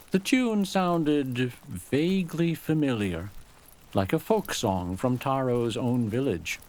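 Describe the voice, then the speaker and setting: Slow-paced man narrating a story. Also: medium pitch, darkish tone. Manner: measured, literary storytelling. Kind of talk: scripted dramatic story narration.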